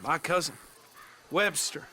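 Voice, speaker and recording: A man's voice: fairly high pitch, slow, bright, forceful tone, exaggerated voice. A man playing a sly, genial small-town lawman in a western video game. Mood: sly, casual. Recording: faint noise, chirping insects.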